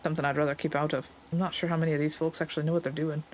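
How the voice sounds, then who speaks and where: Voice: medium pitch, little pitch movement, racing, a little hiss or hum, close to an everyday voice. A player reading aloud the lines of a plainspoken Scottish stable master in a game, in a folksy, understated way.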